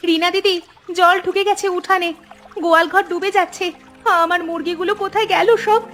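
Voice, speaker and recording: A woman's voice: very high-pitched, fairly even intonation, brisk, a bit bright, faint music behind. A woman voicing a timid, anxious younger sister-in-law in a village cartoon, fretful and full of questions. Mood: alarmed. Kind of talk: cartoon voice acting.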